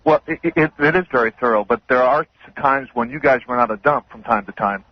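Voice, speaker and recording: A man's voice: mid-range voice, brisk. A middle-aged man chatting casually on a radio phone-in, accommodating and self-deprecating. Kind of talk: casual on-air phone chat. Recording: muffled lo-fi phone call on radio.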